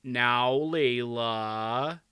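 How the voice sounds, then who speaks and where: A man acts out a mild storybook king with a slow, clear delivery. He sounds awkward and a little embarrassed, a grown husband gently placating. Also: high voice, lively intonation, no echo, studio quality, pitched above the performer's own voice, full character voice.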